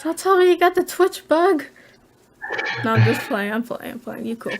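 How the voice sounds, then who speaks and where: Soft-spoken young woman hosting a podcast. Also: sits high, animated, normal speed, gravelly, slight background noise. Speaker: podcast host. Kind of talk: casual podcast chat.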